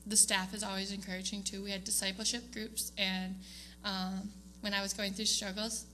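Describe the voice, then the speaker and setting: A young woman talks to a church congregation, stopping often on ums and restarting her sentences. Her voice is bright and pushes forward. A faint hum sits under the recording. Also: mid-range voice. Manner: hesitant, many ums, laughs often. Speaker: student giving award speech. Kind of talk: casual speech to a church congregation. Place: church sanctuary.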